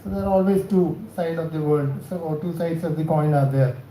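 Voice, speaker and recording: A man with a South Indian accent delivers a technical lecture in a very mellow, dark voice. He moves haltingly, restarting phrases and putting questions to his listeners. The room is clearly echoey, with a faint noise behind him. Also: fairly high pitch. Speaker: cybersecurity scientist giving a training session. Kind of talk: spoken lecture to a class. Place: indoor college session room.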